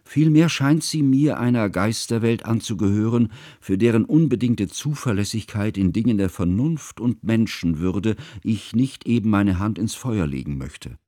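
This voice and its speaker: An actor playing an ageing scholarly schoolmaster who narrates a radio drama in a measured, pedantic, ornate way. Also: male voice, fairly low pitch, expressive, mellow, no echo, clean studio sound, close to an everyday voice. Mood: reflective, confessional. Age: older. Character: ageing scholarly schoolmaster, humane, ceremonious, reflective. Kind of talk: literary first-person radio drama narration.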